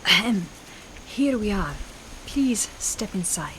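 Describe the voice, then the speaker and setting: A woman's voice: mid-range voice, animated, slow pace. A solemn female medium , acted by a woman for a radio play, formal and commanding as she conducts herself with theatrical mystery. There is some background noise on the recording. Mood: formal, mysterious. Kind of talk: old-time radio drama acting.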